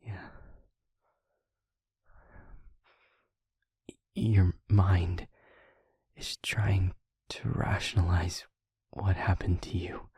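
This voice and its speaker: Man as a hushed, very husky, gentle vampire. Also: sits low, little pitch movement, no echo, clean studio sound, heavily acted. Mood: quiet, explanatory. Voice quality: breathy, husky, near-whispering. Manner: halting, deliberate, reassuring. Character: gentle male vampire soothing a newly turned partner. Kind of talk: intimate audio roleplay monologue.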